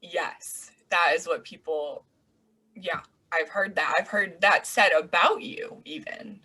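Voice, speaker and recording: A woman's voice: lowish voice, slow, pushed and bright, husky. A woman co-hosting a podcast, talking frankly and jokingly, with curse-laced asides. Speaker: podcast co-host, doctor. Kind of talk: casual conversation.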